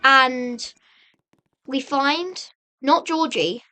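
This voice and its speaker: Woman, high-pitched bright voice, halting suspenseful storytelling, dramatic recap, repeated phrases. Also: slight background noise. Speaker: video narrator and host.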